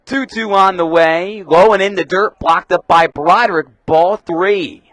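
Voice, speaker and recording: A young man calling live baseball play-by-play for radio in a crisp announcer delivery, punching up the pitch calls. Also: a little slow, a bit bright, no room sound. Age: young adult. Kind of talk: live sports commentary.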